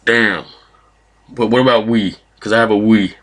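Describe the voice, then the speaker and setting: A man's voice: fairly low pitch, fairly even intonation, normal speed, fairly bright tone. A man voicing a brash young male thug for a prank call, cocky and slangy, with swearing. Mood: cocky, pushy.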